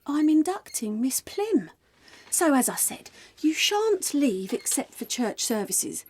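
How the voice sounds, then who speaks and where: A woman's voice: high voice, big pitch swings, takes it slowly, a little mellow, exaggerated voice. A woman playing a stern, prim matron in a period audio drama, bossy and clipped. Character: stern, brisk matron of a charity asylum. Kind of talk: period radio drama acting.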